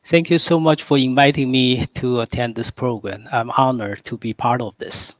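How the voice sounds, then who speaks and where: A man's voice: mid-range voice, fairly even intonation, moderate pace, no room sound. A man from Taiwan, a guest calligrapher, explaining his work in a conversational way with many fillers and restarts.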